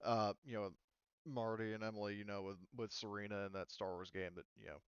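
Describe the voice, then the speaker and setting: A man acts as game master for a tabletop roleplaying game. His voice is clear and smooth at an average pitch, and he talks informally with some swearing. The sound is dry and slightly muffled. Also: level tone, brisk, no room sound.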